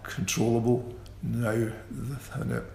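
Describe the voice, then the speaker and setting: Man, interview guest, stammering speech, slow pace, gravelly tone. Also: mid-range voice, level tone, darkish tone. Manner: stammers, with repeated sounds and restarts; slow and considered. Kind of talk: radio interview. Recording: echoey room with faint ambient noise.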